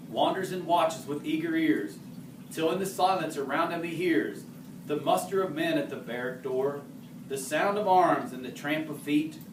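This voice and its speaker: A man reciting a narrative poem as an amateur reader, occasionally stumbling over harder words and correcting himself. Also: fairly high pitch. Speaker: amateur reader in the role of a historical horseman. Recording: roomy, distant mic, faint background noise.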